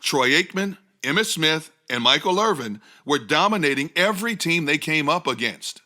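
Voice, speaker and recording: Give me a male podcast co-host with a very bright, animated voice and a wry delivery. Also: a little high, normal speed. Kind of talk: scripted narration.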